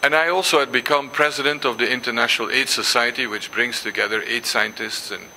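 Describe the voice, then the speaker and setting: An older man whose first language is Dutch gives a symposium lecture, pausing often with ums and restarts and reading passages aloud. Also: mid-range voice, fairly even intonation, sharp tone, slight background noise, rough recording. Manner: hesitant, many ums and restarts. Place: lecture hall.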